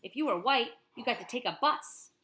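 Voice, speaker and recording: Animated, bright-voiced woman reading to children. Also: a little high, a little fast. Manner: animated, explains simply to young listeners. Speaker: teacher reading a picture book aloud. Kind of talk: expressive children's read-aloud with explanatory asides.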